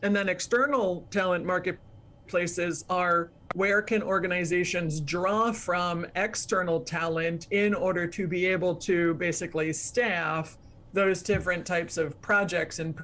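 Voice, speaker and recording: A woman's voice: fairly low pitch, fairly bright tone. A woman speaking as an expert guest, explaining things step by step and defining her terms as she goes. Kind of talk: explanatory interview talk.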